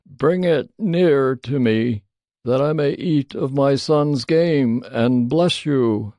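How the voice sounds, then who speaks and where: A man's voice: mid-range voice, slow pace, warm tone, no room sound, natural voice. A man voicing a frail, solemn old father in a dramatised scripture reading, speaking in a declamatory way. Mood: searching, doubtful. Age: older.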